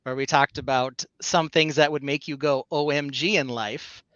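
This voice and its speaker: A man co-hosting a live stream, chatting loosely with lots of ums and restarts. His voice is bright and forward, and it sits dry and close on a somewhat dull, lo-fi recording. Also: medium pitch, fairly even intonation, moderate pace, no echo. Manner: rambling with many fillers and restarts. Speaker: co-host. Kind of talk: casual live-stream chat.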